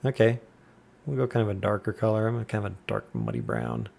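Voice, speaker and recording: Soft-spoken man chatting while gaming. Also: on the low side, gravelly, slightly rough. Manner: chatty, relaxed, greets viewers by name; deliberately quiet, subdued voice. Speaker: game streamer. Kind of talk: casual live-stream chat while gaming. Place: quiet home room.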